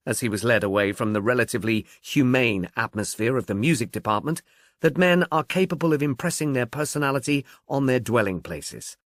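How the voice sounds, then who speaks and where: A man narrating a literary mystery audiobook in a measured, articulate, expressive way. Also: medium pitch, dry recording, clean studio sound.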